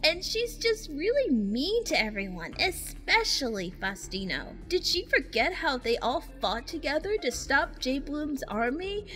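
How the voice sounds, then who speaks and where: A woman's voice: high-pitched, very animated, unhurried, few pauses, bright, forceful tone, soft background music, exaggerated voice. A woman voicing a sarcastic, opinionated, easily embarrassed young girl in a cartoon parody, snippy and exasperated. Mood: critical.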